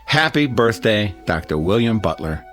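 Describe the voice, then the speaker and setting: An American actor playing a genial middle-aged neighbor giving a folksy, hesitant, sentimental toast in a radio drama. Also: male voice, on the low side, big pitch swings, normal speed, fairly bright tone, soft background music. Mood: warm sentimental. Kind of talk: radio drama acting, party speech.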